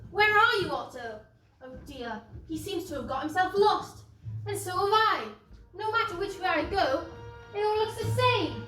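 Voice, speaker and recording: A high, nervous young-heroine stage voice acted by a woman in an amateur pantomime, exclaiming theatrically. She is heard from a distance in an echoey space, speaking at an unhurried pace. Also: animated, heavily acted. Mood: lost, worried. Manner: theatrical, exclaiming, asking the audience questions. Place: theatre stage, recorded from the audience. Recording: echoey stage recorded from far, loud music.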